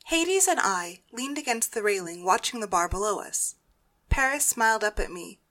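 A woman's voice: mid-range voice, lively intonation, moderate pace, bright, forceful tone. A woman giving first-person scripted narration in a dramatic story.

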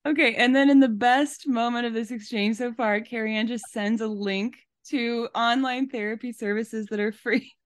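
A woman's voice: on the high side, fairly even intonation, normal speed, hardly any pauses, no room sound, studio quality. A woman hosting a podcast, giving casual, conversational commentary. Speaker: podcast host.

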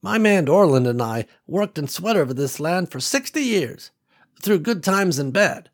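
A man's voice: fairly high pitch, sing-song, a little slow, voice pushed up, heavily acted. A man doing a folksy old farm woman. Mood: gentle. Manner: gentle folksy drawl with endearments. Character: warm elderly woman farmer, folksy and wise.

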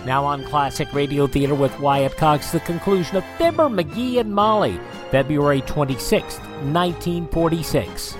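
A man's voice: on the high side, lively intonation, moderate pace. A man presenting a radio show as its host. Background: music.

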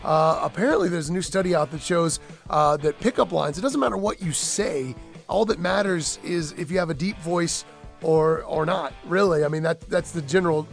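A man's voice: a little high, normal speed, creaky, faint music behind. A man co-hosting a morning radio show, joking and teasing his partner and laughing easily. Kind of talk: bantering morning radio chat.

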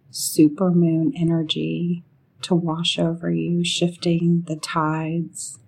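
A woman leading a guided meditation in a soothing, unhurried manner, pausing often between short phrases. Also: lowish voice, darkish tone, poor audio.

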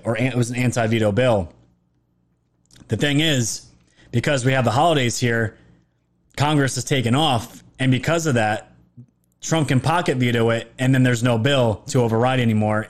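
A man with a sore, fading voice talking through a casual livestream monologue as a political commentator, rambling and emphatic, often restarting his phrases. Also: medium pitch. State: throat sore, losing his voice.

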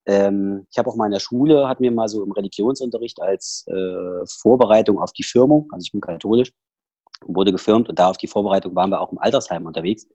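Low-voiced man with a Saxon accent. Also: fast, mellow, no room sound, lo-fi sound. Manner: rambling, many fillers and restarts. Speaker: podcast guest. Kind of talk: casual personal interview talk. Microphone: headset.

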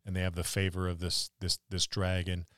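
A man's voice: low voice, monotone, fairly quick, fairly bright tone, no echo, studio quality. A man co-hosting a casual podcast discussion about tabletop roleplaying, musing aloud and building little imagined scenarios. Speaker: podcast co-host.

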